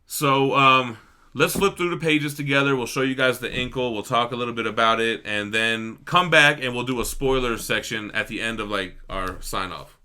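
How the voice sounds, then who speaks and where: A man's voice: mid-range voice, moderate pace, sharp tone, clean tone. A man co-hosting a casual, unscripted book review, talking in slangy, enthusiastic, informal language.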